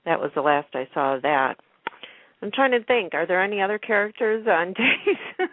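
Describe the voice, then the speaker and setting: A woman's voice: medium pitch, animated, moderate pace, no echo. A woman hosting a radio chat in an informal, gushing way, often repeating her words. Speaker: radio show host. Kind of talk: casual chat about soap operas. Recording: narrow phone-like radio line.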